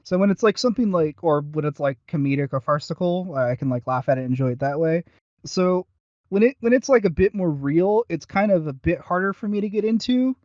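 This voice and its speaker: A man's voice: high-pitched, lively intonation, fairly quick, darkish tone, dry recording, dull audio. A man co-hosting a casual review podcast, talking in a rambling, self-deprecating way. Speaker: podcast co-host.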